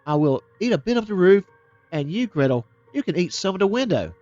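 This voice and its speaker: A man reading as Hansel, a gentle boy. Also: high voice, very animated, brisk, no echo, muffled recording, faint music behind, pitched above the performer's own voice. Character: young boy Hansel, brave, comforting his sister.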